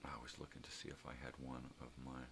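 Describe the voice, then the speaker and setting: An older man with a very deep, gravelly voice chats casually in a rambling, drawn-out way, as a vlog host. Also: completely flat delivery, background noise. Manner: rambling, drawn-out, mild swearing.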